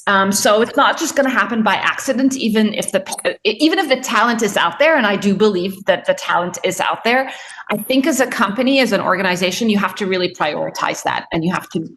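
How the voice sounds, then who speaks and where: A woman's voice: mid-range voice, a bit bright, a little hiss or hum, low-quality recording. A woman from Egypt, a tech entrepreneur answering panel questions over a video call in an earnest, explanatory way.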